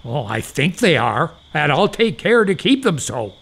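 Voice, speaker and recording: A man acting a gullible old doctor in an audio farce, pompous and rapturous, with deferential, drawn-out exclamations in period English. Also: on the high side, big pitch swings, vocal fry, fairly bright tone, husky, heavily acted. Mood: deferential, eager.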